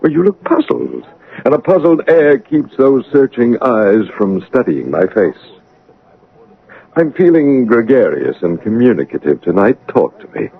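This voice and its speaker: An actor playing a dour, brusque master of the house in a radio drama, with an imperious, probing manner and a husky voice. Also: male voice, medium pitch, sing-song, a little slow, phone-quality sound, heavily acted. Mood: imperious, teasing. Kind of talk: theatrical radio drama acting. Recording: band-limited vintage radio drama broadcast.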